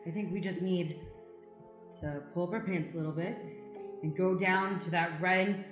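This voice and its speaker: A woman's voice: lowish voice, fairly even intonation, moderate pace, highs cut off, close to an everyday voice. A woman playing a wry, casual small-town dreamer in an improvised comic radio play. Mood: rallying. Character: wry, downtrodden would-be kimono seller from Iowa. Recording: roomy, faint music.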